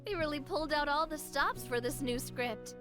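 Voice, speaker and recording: High-voiced, spirited young noblewoman played by an actress. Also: normal speed, natural voice. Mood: enthusiastic. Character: cheerful, spirited young noblewoman, caring and playful. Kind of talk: anime game voice acting. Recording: music clearly behind.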